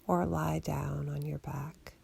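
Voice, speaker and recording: A woman leads a guided relaxation in a low voice with very heavy creak. She gives gentle instructions without hurry, soothing and steady. The delivery stays very even in pitch. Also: slow. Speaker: meditation guide. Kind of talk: guided body scan meditation for sleep.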